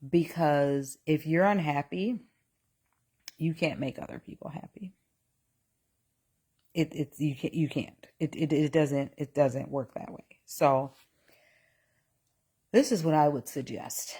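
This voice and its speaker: A woman's voice: deep voice, dark tone, slightly rough. A woman in her forties talking casually to a live audience about faith and relationships, often restarting her sentences and reading comments aloud. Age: 40s. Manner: reads chat aloud, exclaims in mock outrage; slow, repetitive, restarts often. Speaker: live stream host.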